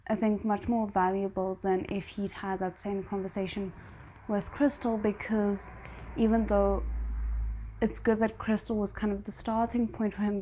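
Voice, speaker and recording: A woman's voice: medium pitch, mellow, a little hiss or hum, low-quality recording. A woman hosting a reaction video, talking casually about a show she just watched, thinking aloud with fillers and restarts.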